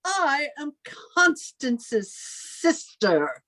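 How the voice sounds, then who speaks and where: A woman's voice: sits high, very animated, drawn-out words, sharp tone, no room sound, heavily acted. A woman playing a stern, controlling older sister in an old-time radio melodrama, speaking formally with a clipped, menacing manner. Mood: commanding. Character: stern, controlling, lonely older sister guarding her family's money.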